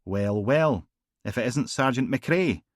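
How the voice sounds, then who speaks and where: A man's voice: medium pitch, animated, slow pace, no echo, studio quality, voice pushed up. A man voicing a pompous, mocking male police inspector who needles a junior officer. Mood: sneering. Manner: mocking, sarcastic.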